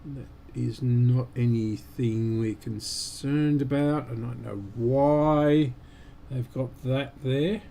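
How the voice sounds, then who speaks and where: A man giving unscripted, halting commentary as host of a repair stream, muttering to himself while he works. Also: medium pitch, steady tone, gravelly, warm tone.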